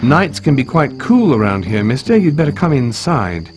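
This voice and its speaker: A man's voice: mid-range voice, very animated, normal speed, soft background music, lightly acted. An actor playing a confident, courteous masked swordsman hero in an adventure game cutscene, with a wry manner. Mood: serious, courteous.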